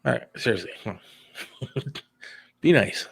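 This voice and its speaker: Guy cohosting a talk show, medium pitch, earnest and a bit hesitant, trying to smooth things over. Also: expressive, slow, fairly bright tone. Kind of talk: casual unscripted talk show banter.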